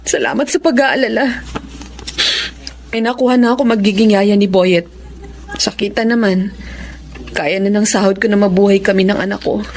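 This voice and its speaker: A woman's voice: mid-range voice, moderate pace, pauses often, a little mellow, a little hiss or hum, lightly acted. A young woman playing a resolute single mother who stands up to her mother in a radio drama. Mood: resolved. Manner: earnest, firm.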